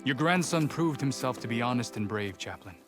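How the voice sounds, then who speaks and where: Male actor as stern game warrior, blunt, formal delivery, medium pitch, music clearly behind him. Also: animated, a little fast, natural voice. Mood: solemn, grudging respect. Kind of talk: video game cutscene acting.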